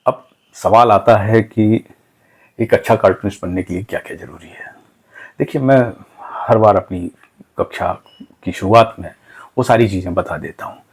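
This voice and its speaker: A man's voice: lowish voice, normal speed, long pauses, slightly rough. A man teaching informally and earnestly, offering motivational advice with rhetorical questions and listed examples. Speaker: cartooning teacher and channel host. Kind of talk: informal motivational lesson talk.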